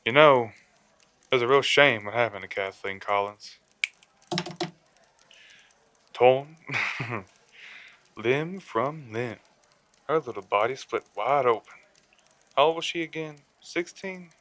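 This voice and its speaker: A man's voice: medium pitch, a little slow, long pauses, a bit bright, a little hiss or hum, close to an everyday voice. A man playing a sinister, gloating killer who phones a radio show, taunting and theatrical, in a radio drama. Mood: gloating, creepy. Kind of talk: radio drama villain acting.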